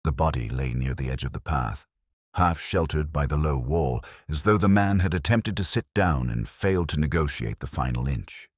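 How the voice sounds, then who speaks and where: Male narrator for literary detective fiction: extremely low register, reflective and measured delivery, dry studio-like sound. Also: husky, no room sound. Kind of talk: scripted first-person literary narration.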